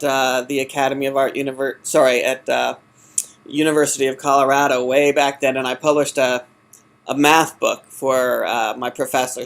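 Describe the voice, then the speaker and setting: A man answering as a job candidate in an informal interview, halting and often correcting himself. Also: medium pitch, a bit bright. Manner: halting, hesitant, self-correcting. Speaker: job candidate in user experience design.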